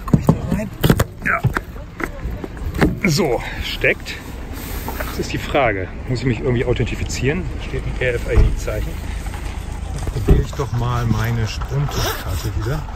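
A man's voice: medium pitch, animated, slow pace, pauses often. A man in his forties chatting casually through a travel vlog, explaining things as he goes and thinking aloud. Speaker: vlogger. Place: outdoors by a car park and in a car. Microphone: phone camera at arm's length. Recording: loud outdoor noise.

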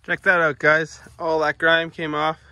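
A man in his forties talks viewers through a hobby repair in a relaxed, chatty way, his voice sitting a little high for a man. He is recorded by a camera a few feet away in a home sunroom, with faint background noise. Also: unhurried. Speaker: vlog host. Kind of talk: casual hobby vlog. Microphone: camera at a distance.

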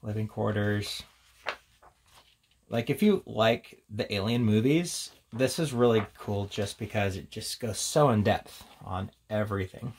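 Gravelly low-voiced man, casual reviewer. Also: a little slow, a little hiss or hum. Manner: rambling, reads headings aloud between casual remarks. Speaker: tabletop game reviewer. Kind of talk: casual unscripted flip-through commentary.

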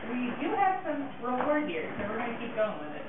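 A woman's voice: on the high side, very animated, brisk, far from the mic, noisy background. A woman chatting casually while stripping a floor indoors, tired but determined and matter-of-fact. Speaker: homeowner doing renovation. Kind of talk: casual on-the-job chat. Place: indoors, empty room mid-renovation. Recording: tinny, echoey phone video from afar.

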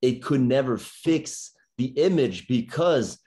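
A man's voice: medium pitch, expressive, slow pace, mellow. A man in his thirties hosting a podcast interview, talking casually in loose, informal phrasing. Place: small bedroom indoors. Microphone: video call microphone.

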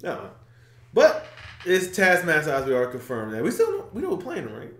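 Animated young man in an echoey room. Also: moderate pace. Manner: animated, excitable, trails off into low mumbles. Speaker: reaction video host. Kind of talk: casual movie reaction chat. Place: small room indoors, seated.

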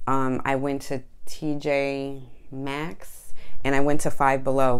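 A woman in her thirties chatting casually in a home vlog, relaxed and rambling, with frequent "um" and "y'all", recorded on a lapel mic. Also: rumbling low, smooth. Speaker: vlogger and candle shop owner. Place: small home room with shelves. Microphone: clip-on lapel mic.

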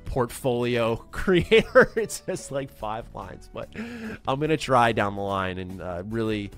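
A young man talking casually to camera from a home gaming room into a close desk microphone, animated and upbeat, with quick bursts of excitement. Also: mid-range voice, clear, resonant tone, faint music behind. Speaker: gaming YouTuber and voice actor. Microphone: large desk mic close up.

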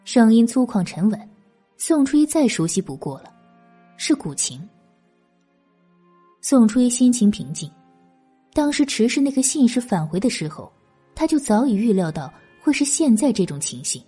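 Female audiobook narrator, highish and expressive, husky edge, dramatic period fiction. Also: normal speed, pauses often, soft background music. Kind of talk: scripted dramatic novel narration.

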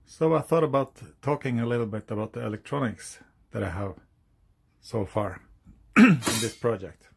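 Man, 40s, Norwegian accent, husky, halting non-native English, casual DIY explainer. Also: medium pitch. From Norway. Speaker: hobbyist YouTuber. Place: outdoors on a hilltop, then indoors at a workbench.

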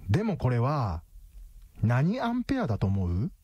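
An actor playing a cocky, calculating detective in a radio drama, explaining things in a smug, theatrical way. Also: male voice, medium pitch, wildly swooping pitch, moderate pace, dark tone, husky, dry recording, muffled recording. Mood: taunting. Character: cocky, calculating male detective, coolly triumphant.

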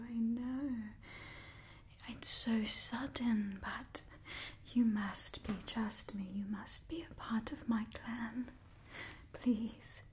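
A young woman performing a whispered ASMR roleplay as an imperious, doting old vampire clan overseer, breathy and theatrical. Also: on the high side, a little mellow, slight background noise. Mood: pleading, adoring. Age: young adult.

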